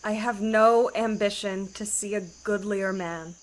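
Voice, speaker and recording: A woman's voice: mid-range voice, fairly even intonation, unhurried, natural voice. A woman playing a tender, innocent, lovestruck young daughter, earnest and entreating, in a Shakespearean audio drama. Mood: adoring.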